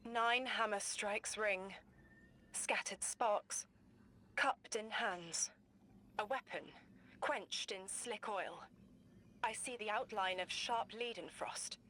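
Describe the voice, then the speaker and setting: An actress playing a cryptic female seer who speaks in slow, trance-like visions. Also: mid-range voice, bright, forceful tone, slight background noise.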